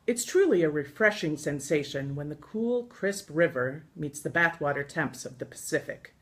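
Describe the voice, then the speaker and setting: American woman reading her memoir expressively. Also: low-pitched. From United States. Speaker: author reading from own book. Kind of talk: live reading of a scripted first-person travel memoir.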